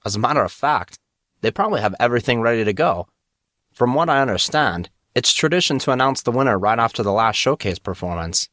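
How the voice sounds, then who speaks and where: A man's voice: medium pitch, lively intonation, a little fast, fairly bright tone, dry recording, lightly acted. A man voicing a brash, incredulous teenage schoolboy with slangy, casual talk in an audiobook.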